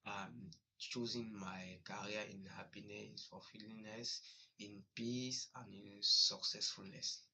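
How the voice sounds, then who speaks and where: A man's voice: lowish voice, steady tone, takes it slowly, creaky, sharp tone. A young man with a Cameroonian accent reciting a rehearsed student testimonial. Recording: noisy background with clicking.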